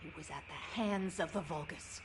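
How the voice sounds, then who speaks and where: A woman's voice: low voice, moderate pace, a little hiss or hum, close to an everyday voice. An actress playing a stubborn, grieving young woman with intense emotion in a video game drama. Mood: defiant.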